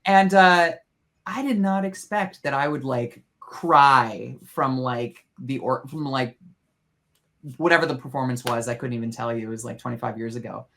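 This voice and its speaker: A woman's voice: rumbling low, moderate pace. A woman in her forties co-hosting a podcast, reminiscing in a wry, reflective way. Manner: reflective, wry, lively intonation. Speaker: podcast co-host. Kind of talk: casual chat.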